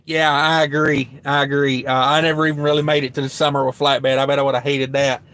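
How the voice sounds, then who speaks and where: A man's voice: medium pitch, little pitch movement, quick pace, fairly bright tone, clean tone. A man hosting a trucking livestream, rambling casually with lots of fillers while reading out viewer comments, his throat getting dry. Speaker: truck driver livestream host.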